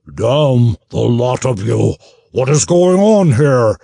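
A man voicing a menacing male gnoll ship captain, speaking slowly with a growl. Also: medium pitch, very animated, gravelly, warm tone, husky, no room sound, pitched above the performer's own voice, full character voice. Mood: furious.

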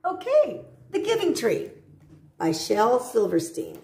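A woman reads a children's storybook in a high, mellow voice. Her phrasing is slow and deliberate, with short asides to young listeners. The room adds a noticeable echo. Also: wildly swooping pitch. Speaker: storybook reader. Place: indoor room at home.

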